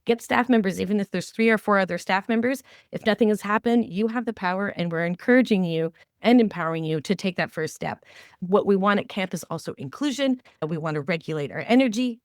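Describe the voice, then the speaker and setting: A woman's voice: mid-range voice, fairly quick, no room sound, studio quality. A woman co-hosting a podcast, giving conversational advice in an enthusiastic, emphatic way and acting out the remarks she quotes. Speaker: podcast co-host.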